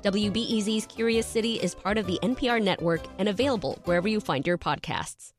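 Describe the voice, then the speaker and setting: A woman announcer delivers a scripted radio promo. Her voice is of medium pitch, with music playing clearly behind it. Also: fast talker.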